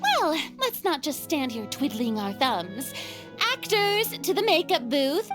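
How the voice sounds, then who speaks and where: A woman voicing an excitable, bossy young female film director in an anime-style video game, with commanding, theatrical exclamations. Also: high voice, slow pace, over music, exaggerated voice. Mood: brisk, determined.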